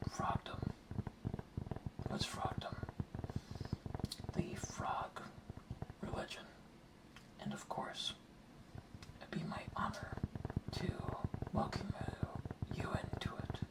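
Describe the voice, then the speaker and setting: Guy doing an earnest frog guardian for asmr roleplay, whispering real slow, sounds kinda far from the mic. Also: natural voice. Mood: solemn, inviting. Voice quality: breathy whisper. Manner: slow, hushed, deliberate, repeating words. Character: earnest, welcoming dart frog guardian of the rainforest.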